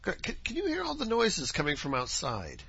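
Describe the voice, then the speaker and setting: A man's voice: high-pitched, wildly swooping pitch, brisk, gravelly, pushed and bright, slightly rough, lo-fi sound. Scratchy-voiced man rambling on a podcast. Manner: rambling, chatty, self-correcting. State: scratchy voice from dust. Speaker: podcast host. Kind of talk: casual solo podcast chat. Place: home, outdoor noises faintly heard.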